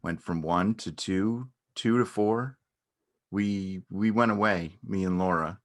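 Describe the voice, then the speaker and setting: Low-voiced, awkward beekeeper played by a man. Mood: matter-of-fact storytelling. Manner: hesitant, understated, halting. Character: awkward, unassuming male beekeeper, gently earnest and halting. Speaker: amateur or local theatre actor. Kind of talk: naturalistic dramatic reading of a stage dialogue.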